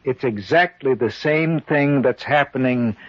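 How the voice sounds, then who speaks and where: An actor playing an uneasy man telling his eerie story, measured and suspenseful, in 1940s radio style. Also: medium pitch, no echo, lightly acted. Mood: grave, suspenseful. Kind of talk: 1940s radio acting, dramatic narration. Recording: muffled, noisy 1940s radio recording.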